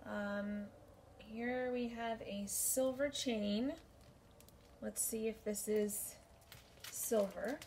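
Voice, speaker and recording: Woman in her 40s, higher voice, very slow and unhurried, lots of ums, bedroom haul chat. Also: steady tone, a little mellow, slight background noise. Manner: unhurried, thinking aloud with many ums. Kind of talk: casual show-and-tell haul video. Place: bedroom, indoors. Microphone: camera at desk distance.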